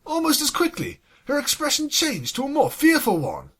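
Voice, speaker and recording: A story reader with a husky voice, sardonic and exasperated, swinging between narration and sweary asides. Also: sits high, wildly swooping pitch, moderate pace, fairly bright tone. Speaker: fan fiction reader and commentator. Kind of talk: scripted story reading with sarcastic asides.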